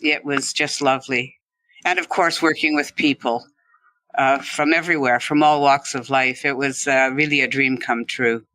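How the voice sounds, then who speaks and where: A woman's voice: a bass voice, steady tone, normal speed, fairly bright tone, dry recording. A middle-aged woman, telling her own story conversationally as an interview guest. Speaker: podcast guest, former ski instructor.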